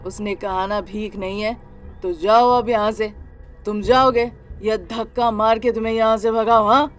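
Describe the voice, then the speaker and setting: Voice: mid-range voice, fairly even intonation, fairly bright tone, lightly acted. An actor voicing a brutal, jealous, drunken husband in a dramatised story, threatening and domineering, with music behind. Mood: bullying. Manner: slow, threatening, bullying. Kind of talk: dramatised Hindi audio story.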